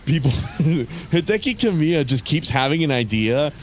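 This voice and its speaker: A man's voice: a little high, expressive, unhurried, a little hiss or hum. A man streaming a game and chatting casually, sounding congested and nasal, rambling with frequent swearing. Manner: rambling, swears often, laughs between thoughts. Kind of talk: casual chat while livestreaming a game.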